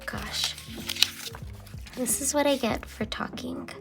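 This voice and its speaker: A young woman chatting casually while she journals, rambling with many fillers and sometimes dropping into a soft half-whisper, her voice still a little phlegmy from a cold. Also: high-pitched, steady tone, slow, over loud music. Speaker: journaling vlogger.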